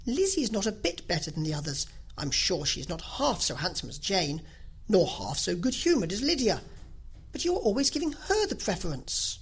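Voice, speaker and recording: A woman reader acts out a fussy middle-aged wife from a classic novel. She nags and exclaims with emphatic self-pity, her pitch swinging high and low in a slightly husky voice. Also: big pitch swings, a little fast, full character voice. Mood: vexed, complaining.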